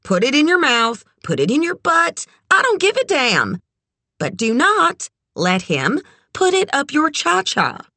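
A brassy, bawdy woman of the world, as an audiobook narrator puts her on, pitched high and close in a dry recording. She sweet-talks with sugary endearments and speaks frankly, teasing and amused. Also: huge pitch swings, a little slow, sharp tone, dry recording, exaggerated voice. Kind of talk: audiobook character dialogue.

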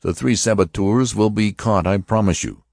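A man voicing a stern male Martian officer who interrogates passengers in a slow, commanding, threatening way. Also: fairly low pitch, creaky, a little mellow, no room sound, studio quality, pitched above the performer's own voice. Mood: menacing.

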